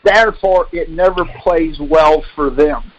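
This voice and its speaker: A man's voice: fairly high pitch, a little slow, lo-fi sound. A man leading a call, explaining and debating in an insistent, corrective way with pointed questions. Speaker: call leader and instructor.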